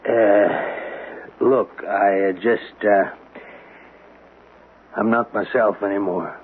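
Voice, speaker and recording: An actor playing a troubled, irritable middle-aged American widower in a radio drama, speaking in a halting, intense way. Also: male voice, fairly low pitch, fairly even intonation. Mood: grieving, halting. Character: troubled, brooding, irritable wealthy male widower. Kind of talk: 1970s radio drama acting. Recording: tinny, band-limited old radio, faint hiss.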